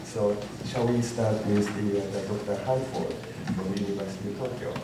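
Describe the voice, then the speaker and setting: A man moderating a conference panel, speaking haltingly with many fillers and restarts. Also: lowish voice, fairly even intonation, heavy background noise. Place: large conference room. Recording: echoey hall, distant mic.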